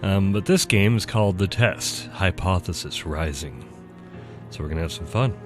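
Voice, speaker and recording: A man's voice: low-pitched, animated, normal speed, music in the background. A man streaming a game, talking in a chatty, rambling way with a stuffy nose from allergies. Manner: chatty, rambling, self-deprecating. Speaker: gaming streamer. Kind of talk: casual game commentary.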